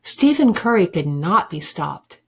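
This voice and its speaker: A woman reading a children's book aloud like a teacher, with deliberate, emphatic phrasing for young listeners. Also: on the low side, very animated, breathy edge. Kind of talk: expressive storybook read-aloud.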